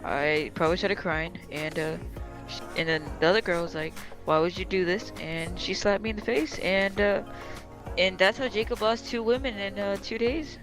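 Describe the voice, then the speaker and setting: Male storyteller, halting delivery, rambling comic anecdote, casual among friends, clear music behind. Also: high voice. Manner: halting, often refers to himself in third person.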